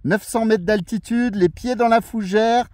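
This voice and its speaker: High-pitched man over two-way radio. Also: unhurried, a little mellow, no echo, clean studio sound. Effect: radio transmission. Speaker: portable radio operator. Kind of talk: friendly radio contact chat. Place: outdoors on a mountain, heard over radio.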